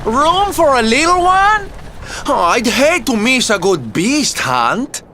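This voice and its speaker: An actor playing a flamboyant, teasing circus jester in a video game, with a camp, arch delivery. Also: male voice, very high voice, sing-song, slow, background noise, full character voice. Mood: playful. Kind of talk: video game cutscene voice acting. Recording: loud game music behind.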